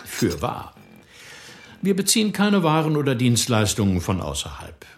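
An actor playing a self-assured village leader in a radio play, speaking in a lofty, preaching manner that drops to a hush. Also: male voice, medium pitch, wildly swooping pitch, normal speed. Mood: persuasive. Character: smooth-talking village leader, older man, self-assured.

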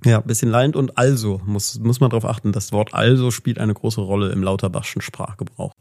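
A man co-hosting a podcast in casual chat, joking and teasing and quick to slip into silly voices. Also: on the low side, fairly even intonation, vocal fry, darkish tone. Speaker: podcast co-host.

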